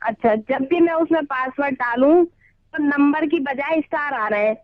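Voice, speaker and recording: A woman's voice: sits high, moderate pace, dry recording. A woman on the telephone making a casual complaint in an earnest, plain-spoken, colloquial way over a dull line. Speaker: customer on a phone call.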